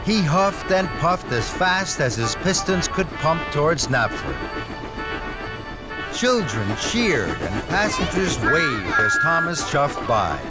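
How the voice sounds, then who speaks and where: Guy reading a kids train story, higher voice, very animated, takes his time, music behind. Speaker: storyteller narrator. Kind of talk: scripted children's story narration.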